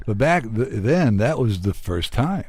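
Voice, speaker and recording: A man's voice: medium pitch, big pitch swings, unhurried, a little mellow, slightly rough, no room sound. A man hosting a radio show, chatting casually and informally as he interviews a guest with joshing familiarity.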